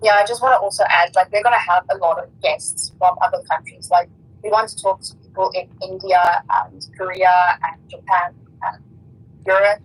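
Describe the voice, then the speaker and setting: A woman with a lower, husky voice chats casually as a podcast co-host. Her intonation stays quite flat as she speaks over an online call. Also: normal speed, rough recording. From Africa.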